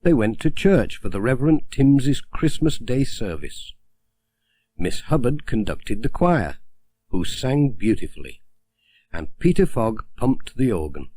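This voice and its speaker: A man narrating a children's story as an audiobook reader, in a gentle, expressive storytelling manner. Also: mid-range voice, a little slow, dry recording.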